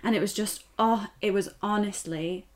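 A woman's voice: medium pitch, moderate pace, over a noisy crowd. Enthusiastic young British woman chatting. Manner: enthusiastic, effusive. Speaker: podcast co-host. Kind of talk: casual chat.

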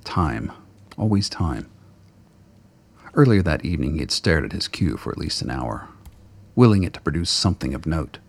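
A man narrating a radio play in a scripted, dramatic storytelling style with a gravelly, husky voice. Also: rumbling low, very animated, normal speed.

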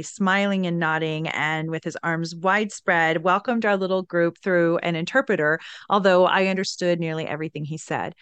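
A woman's voice: fairly low pitch, clean tone, no room sound. A woman hosting a podcast, retelling and reading aloud from a book with a chatty, enthusiastic manner. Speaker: podcast host.

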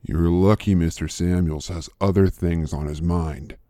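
A man reading a story, voicing a calm, threatening male enforcer who speaks slowly. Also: no room sound, clean studio sound. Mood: menacing. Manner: slow, low, threatening.

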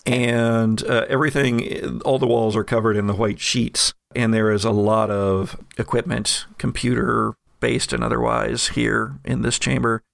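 Gravelly guy gm'ing a tabletop game, chill and chatty, dry sound. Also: mid-range voice, measured pace, hardly any pauses, no echo. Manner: relaxed, chatty descriptions with fillers. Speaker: game master.

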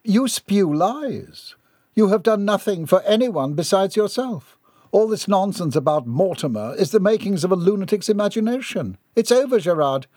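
Brief: man doing stern righteous accuser, raised pitch, clipped, storybook reading. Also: expressive, normal speed, a little mellow, no room sound, studio quality. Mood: accusing.

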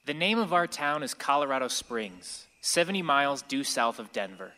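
A man's voice: mid-range voice, sing-song, normal speed, bright, forceful tone. An actor playing an angst-ridden sixteen-year-old boy who narrates a radio play in a reflective way. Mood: storytelling. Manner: reflective, storytelling to the listener. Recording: reverberant hall.

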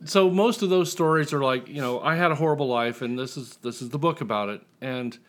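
A man's voice: mid-range voice, brisk, a bit bright. Casual, joking man chatting as an author guest. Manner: joking, self-deprecating. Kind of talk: casual interview chat.

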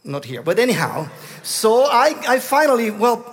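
Guatemalan-accented preacher in a reverberant hall. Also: male voice, very high-pitched, sing-song. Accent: Guatemalan Spanish. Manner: halting, many restarts. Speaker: guest preacher. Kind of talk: storytelling sermon.

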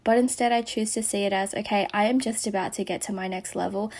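A hoarse woman losing her voice, hosting a podcast with an earnest, encouraging motivational monologue that slips into a breathy whisper. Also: mid-range voice, steady tone, fast talker, darkish tone. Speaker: podcast host.

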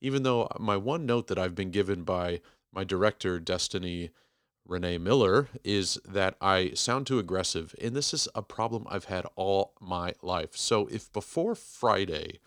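Deep-voiced man in his forties hosting. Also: animated, moderate pace, a bit bright, no room sound, studio quality. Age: 40s. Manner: rambling, self-deprecating, emphatic enunciation. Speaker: podcast host. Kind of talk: casual podcast intro monologue.

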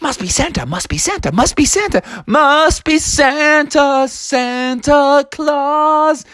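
An adult storyteller voicing excited, clamoring young children who chant and shriek. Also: very high voice, slow pace, no echo, studio quality, voice pushed up, heavily acted. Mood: gleeful chanting.

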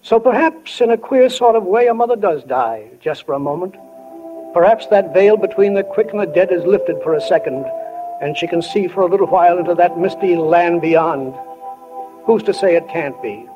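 Casting: ruminative small-town narrator, high voice, old radio drama style over prominent music. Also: male voice, animated, moderate pace, highs cut off. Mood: musing, solemn. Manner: plainspoken, ruminative. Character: philosophical folksy small-town narrator musing on life and death. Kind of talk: 1940s radio acting, reflective narration. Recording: 1940 radio broadcast with music underneath.

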